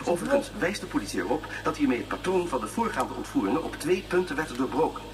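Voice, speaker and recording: An actor playing a brisk news reporter in a science fiction radio drama, reading urgently and emphatically. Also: male voice, fairly high pitch, sing-song, distant mic. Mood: urgent, official. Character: brisk male news reporter on interplanetary bulletin.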